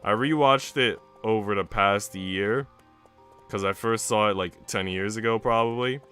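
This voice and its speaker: A man's voice: fairly low pitch, unhurried, pushed and bright, smooth, faint music behind. A man livestreaming in casual, conversational chat with his viewers. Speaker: livestreamer reading visual novels.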